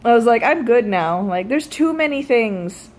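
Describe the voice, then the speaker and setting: A woman of thirty-two telling stories casually to viewers, animated and wry, with self-deprecating asides and free swearing. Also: fairly high pitch, normal speed. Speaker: vlogger. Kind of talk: casual storytelling chat to viewers.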